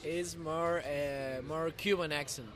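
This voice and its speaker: A man from the Canary Islands with a Canarian Spanish accent, chatting casually in halting second-language English during an interview. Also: a little high, steady tone, crowd noise. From Canary Islands, Spain. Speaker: podcast guest, visiting artist. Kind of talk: casual street interview chat. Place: outdoors on a New York street. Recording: busy city street with voices around.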